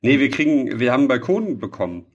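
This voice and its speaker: A man with a medium-pitched voice co-hosts a casual podcast. He rambles and jokes at his own expense in friendly banter. The sound is slightly dull and lo-fi. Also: very animated, fast, a little hiss or hum. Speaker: podcast co-host.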